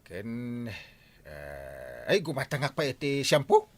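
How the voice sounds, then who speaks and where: Man as playful village captain, Ilocano accent, wildly swooping pitch, proud, radio drama comedy. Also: huge pitch swings, slow pace, heavily acted. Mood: playful, boastful. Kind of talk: Ilocano audio drama acting.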